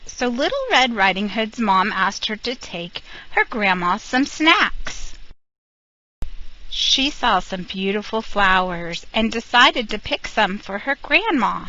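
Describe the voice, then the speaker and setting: A woman reading a fairy tale aloud to children, expressive and unhurried. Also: a little high, highs cut off. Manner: expressive, animated storybook reading. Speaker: storyteller.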